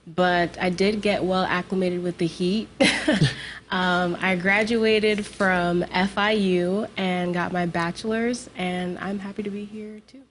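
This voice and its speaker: A woman's voice: lowish voice, steady tone, takes it slowly. A young woman from Boston answers studio questions as a new sports reporter. Her tone is friendly and she laughs easily, with faint noise in the background. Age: young adult. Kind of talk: casual live studio interview.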